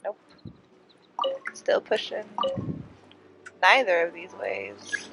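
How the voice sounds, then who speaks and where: A woman's voice: lowish voice, big pitch swings, very slow, creaky, very noisy. A congested woman giving casual, informal gameplay commentary, reacting and talking to herself as she streams. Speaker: game streamer. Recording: loud game music behind. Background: video game music and sounds.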